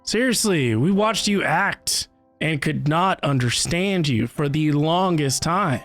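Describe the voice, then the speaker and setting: A man's voice: on the high side, expressive, takes it slowly, a bit bright. A man giving casual, emphatic reaction commentary as a game streamer, speaking informally. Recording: faint game music behind.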